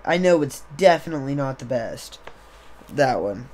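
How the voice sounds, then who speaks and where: A young man, slightly hoarse, chatting casually on a live stream into a desk mic on a boom arm, rambling with many fillers. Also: expressive, moderate pace, a little mellow. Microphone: desktop USB mic on a boom arm.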